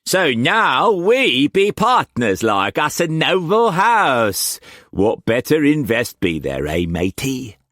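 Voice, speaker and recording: A man voicing a boisterous pirate chief who speaks rough Cockney slang, jovial but menacing. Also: mid-range voice, huge pitch swings, slow pace, fairly bright tone, no room sound, clean studio sound, pitched above the performer's own voice, full character voice.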